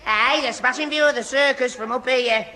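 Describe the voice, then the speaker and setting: A man's voice: very high voice, normal speed, pushed and bright, heavily acted. An actor playing a gormless, flustered young man who stammers and gets muddled, in a 1960s radio sitcom. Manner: stammering, tongue-tied. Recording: noisy old radio recording.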